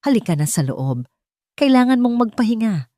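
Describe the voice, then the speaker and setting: A woman's voice: fairly high pitch, big pitch swings, moderate pace, dark tone, no room sound, studio quality, voice pushed up. A woman voicing a worried, protective mother of a small boy in an audiobook, with an urgent, chiding manner.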